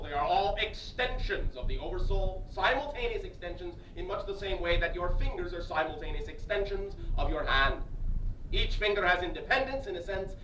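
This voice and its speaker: Guy doing an alien teacher lecturing, high bright voice, punchy staccato stresses, old cassette from far off. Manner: emphatic, staccato, deliberate stresses and repetitions. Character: channeled alien entity, emphatic otherworldly teacher. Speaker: channeler addressing a live group. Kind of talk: improvised metaphysical lecture. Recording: echoey far-off 1980s cassette, faint hiss.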